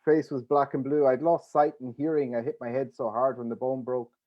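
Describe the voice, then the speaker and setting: A former sumo wrestler telling stories on a panel, emphatic and animated. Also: male voice, medium pitch, fairly quick, dull audio. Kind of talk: panel discussion.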